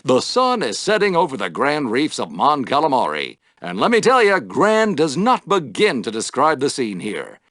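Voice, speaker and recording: An actor playing an exuberant, wry podrace announcer in a video game, with a showy, dramatic broadcast delivery. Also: male voice, medium pitch, huge pitch swings, moderate pace, few pauses, fairly bright tone, no echo, studio quality. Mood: showy, enthusiastic. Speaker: sports announcer. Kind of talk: theatrical sports commentary for a video game.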